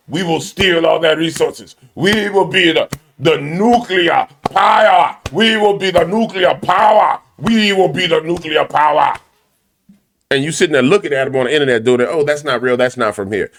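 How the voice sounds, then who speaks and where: A man hosting a commentary show, ranting loudly and emphatically with a repetitive, preacher-like cadence. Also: fairly high pitch, moderate pace, creaky. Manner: loud, big voice. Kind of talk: fiery commentary rant.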